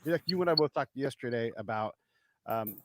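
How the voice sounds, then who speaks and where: A man's voice: medium pitch, expressive, moderate pace, noisy background. A man hosting a podcast, asking questions in a casual, conversational way. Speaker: podcast host. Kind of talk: podcast interview.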